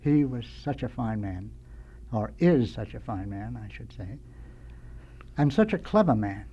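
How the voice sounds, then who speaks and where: An older American man speaks at a medium pitch as a radio guest. He reminisces about his working life in a halting, rambling way, telling anecdotes in a radio studio. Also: lively intonation, breathy edge, muffled recording. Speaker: radio guest, veteran sound effects man. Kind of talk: nostalgic anecdotal storytelling.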